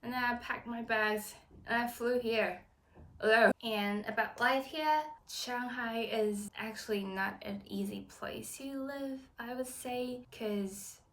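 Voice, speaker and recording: A woman's voice: on the high side, slow pace, fairly bright tone. A woman in her twenties from Taiwan, a native Chinese speaker, talking to camera in an earnest, encouraging vlog. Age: 20s. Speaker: vlogger. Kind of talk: casual talk to camera.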